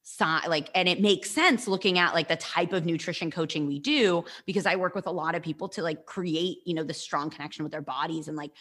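A woman's voice: on the low side, a little fast, few pauses, a bit bright. A young woman, a nutrition coach, chatting casually as a podcast guest and rambling with lots of "like" fillers. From United States.

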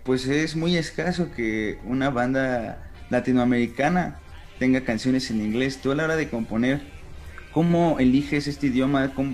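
A man interviewing a musician in a casual, curious way. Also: mid-range voice.

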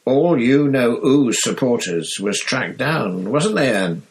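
A man voicing an excitable, gossipy young bus conductor who chatters in slang. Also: medium pitch, slow pace, voice pushed up, low-quality recording.

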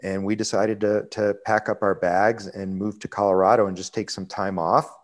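A man in his forties, a restaurant executive, telling his career story in a relaxed, rambling way with lots of fillers. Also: lowish voice, moderate pace. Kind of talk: conversational interview storytelling.

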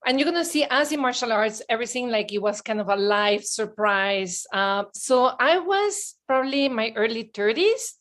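Belgian-born woman on a podcast, tells stories w/ big excited bursts, highish voice. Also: normal speed, hardly any pauses. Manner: animated storyteller, acts out her past self in high excited bursts. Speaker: podcast guest. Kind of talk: casual interview chat.

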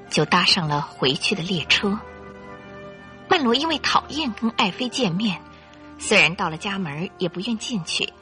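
Female narrator for radio drama, lively swinging intonation, bright tone, suspenseful delivery, music underneath. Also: medium pitch. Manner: theatrical, suspenseful, clearly articulated.

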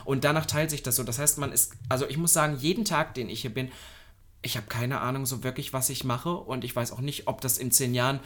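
A man's voice: medium pitch, fairly even intonation, a little fast, fairly bright tone. A man in his twenties co-hosting a podcast, chatting in a loose, rambling and playful way. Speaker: podcast co-host. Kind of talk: casual podcast chat.

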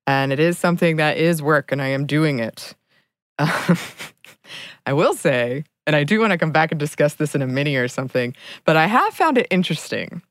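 A woman's voice: deep voice, animated, a little fast, dry recording, clean studio sound. A young woman co-hosting a book podcast, talking earnestly in a casual chat and laughing often between thoughts. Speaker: podcast co-host.

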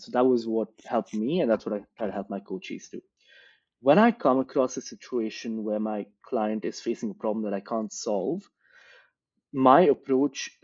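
A man from India, a career coach, giving thoughtful, measured interview answers built around examples. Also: medium pitch, mellow, dull audio.

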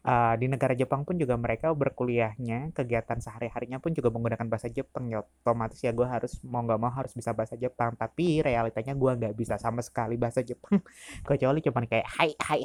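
A young man in his twenties recounts his own story in relaxed Jakarta slang, stopping often for fillers. His voice is warm and mellow, and it is recorded dry and close with no room sound. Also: medium pitch, no room sound. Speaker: university staff. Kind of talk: casual storytelling.

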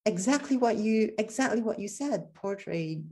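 A woman's voice: medium pitch, animated, moderate pace, warm tone. A woman interviewing a guest, speaking in a hesitant way with many fillers and restarts. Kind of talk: conversational interview.

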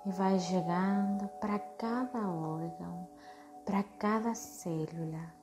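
A woman leading a guided meditation in a soothing, unhurried way, with short phrases and long pauses. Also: lowish voice. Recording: music behind the voice. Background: meditation music.

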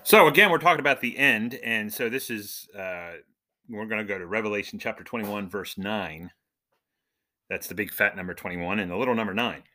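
A man's voice: fairly low pitch, fairly quick, noisy background. A man hosting a podcast, giving an informal, conversational Bible lesson, explaining and asking questions as he goes. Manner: conversational, explanatory, asks questions and answers them.

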